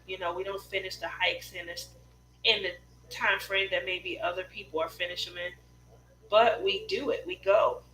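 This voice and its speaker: Casting: middle-aged woman, craft stream host, bright forward voice, chatty and rambling, slight background noise with hum. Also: fairly low pitch, steady tone, normal speed, low-quality recording. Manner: chatty, rambling personal storytelling. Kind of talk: casual chat with live viewers.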